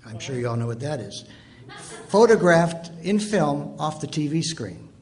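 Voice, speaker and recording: A man's voice: a little high, very animated, moderate pace, darkish tone. An older American man from Detroit, casually explaining and reminiscing as a panelist. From Detroit, United States. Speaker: panelist, author. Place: stage panel in a theater. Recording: live stage microphone with background noise.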